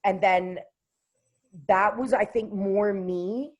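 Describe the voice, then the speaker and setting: A woman with a low contralto voice, a singer-songwriter talking casually in an interview, often pausing with fillers and restarting her sentences. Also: fairly even intonation, muffled recording. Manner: hesitant, with many fillers and restarts.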